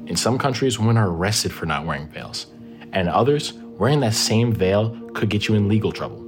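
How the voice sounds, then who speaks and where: Man, podcast narrator, reflective, rhetorical questions, low-pitched, plucked guitar bed. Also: lively intonation, a little fast. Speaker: podcast host. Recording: music bed behind the voice.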